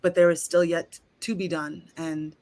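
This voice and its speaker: A woman's voice: fairly low pitch, flat delivery, moderate pace, a little mellow. A woman interviewing in a casual, thoughtful conversational style, attentive and affirming as she responds. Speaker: interviewer and actor.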